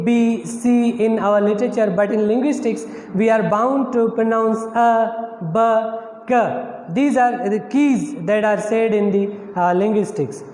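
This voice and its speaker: A man with a Pakistani accent giving a recorded English lecture to students, explaining step by step with fillers and restarts. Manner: explanatory, many fillers and restarts, lively pitch on key terms. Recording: echoey room with faint noise.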